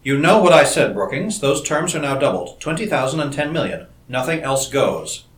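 A man's voice: mid-range voice, sing-song, normal speed, close to an everyday voice. A man voicing a cold, scheming male scientist with a clipped, sarcastic manner. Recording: roomy echo.

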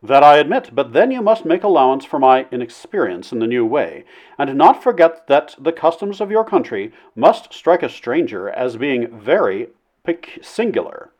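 Guy doing a polite awkward gentleman in an audiobook, hesitant and wry, really animated pitch. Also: moderate pace. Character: Flynn, polite, flustered male visitor being courted. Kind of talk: audiobook character dialogue.

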